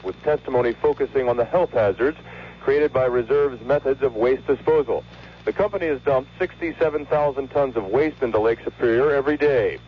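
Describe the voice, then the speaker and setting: A man's voice: mid-range voice, breathy edge. An American man delivering a scripted news report as a radio correspondent. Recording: dull, lo-fi 1970s radio report.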